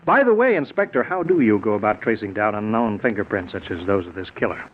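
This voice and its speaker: An American actor playing a coolly cunning, controlling murderer in a 1940s radio drama. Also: male voice, on the low side, expressive, quick pace, lightly acted. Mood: smoothly bluffing. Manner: dismissive, controlling, smoothly evasive. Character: coolly cunning murderer brother, smooth liar under questioning. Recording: noisy, narrow-band 1940s radio recording.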